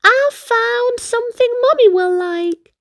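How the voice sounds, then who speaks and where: A woman's voice: extremely high pitch, slow, no echo, studio quality, pitched above the performer's own voice. A woman voicing a small, dreamy, cheerful little brother in a storybook, in a childlike sing-song manner. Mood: delighted.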